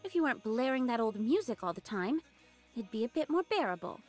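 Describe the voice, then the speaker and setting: A woman playing a blunt, sharp-tongued young woman who hides her fondness behind reproach, in a video game cutscene. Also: fairly high pitch, big pitch swings, a little fast. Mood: grudgingly fond. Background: music.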